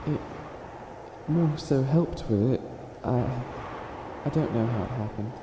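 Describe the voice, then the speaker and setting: A man's voice: medium pitch, close to an everyday voice. Man playing a flustered wicked witch, echoey room. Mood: exasperated. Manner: stammering, flustered, dramatic drawn-out emphasis. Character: flustered self-styled evil witch, hopelessly helpful, theatrical. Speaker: ASMR roleplay performer. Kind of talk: ASMR character roleplay, comedic monologue. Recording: echoey room with audible background noise.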